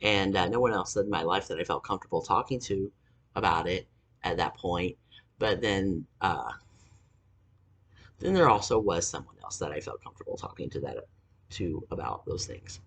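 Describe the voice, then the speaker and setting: A man in his forties telling a personal story to camera, halting with fillers and angry swearing. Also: low voice, expressive, a bit bright, husky. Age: 40s. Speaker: vlogger. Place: indoors, dim room.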